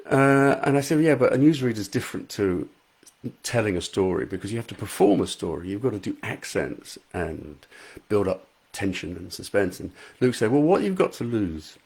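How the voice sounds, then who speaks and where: A man with a soothing, fairly low voice chats casually to viewers on a live stream. He answers their comments with a self-deprecating warmth of manner, speaking into a good microphone in a small, untreated room at home. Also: very animated, moderate pace, slightly rough. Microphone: high quality microphone.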